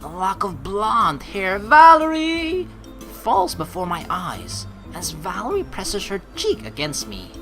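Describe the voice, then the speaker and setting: A woman hosting a let's play, reading story narration aloud and reacting with animated, excitable commentary. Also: medium pitch, slow pace, soft background music.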